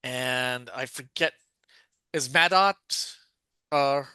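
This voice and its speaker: A man's voice: mid-range voice, slow pace, bright, forceful tone, smooth, no room sound. A man co-hosting a podcast, chatting casually and rambling with lots of fillers and self-corrections. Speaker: podcast co-host. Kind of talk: casual chat about a TV show.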